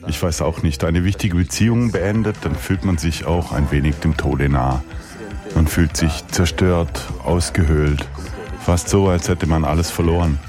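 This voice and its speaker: A man reading a German voice-over translation of an interview in a calm, even manner. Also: extremely low pitch. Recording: voice-over with clear music underneath. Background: music.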